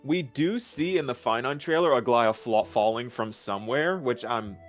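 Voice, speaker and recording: A man's voice: medium pitch, normal speed, faint music behind. A young man chatting casually as a guest co-commentator. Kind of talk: casual chat.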